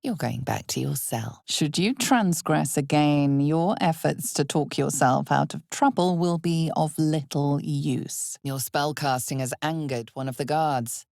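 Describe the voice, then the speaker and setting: A woman playing an ominous, knowing narrator of a dark fantasy video game, speaking in a measured, dramatic, hushed way. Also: low-pitched, gravelly, no echo, studio quality. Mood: grave, measured.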